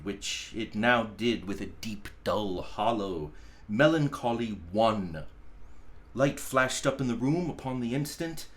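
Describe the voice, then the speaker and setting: A man reading a classic story aloud in a dramatic, emphatic manner, now and then stumbling over a word. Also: a little high. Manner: theatrical, emphatic, occasionally stumbling over words.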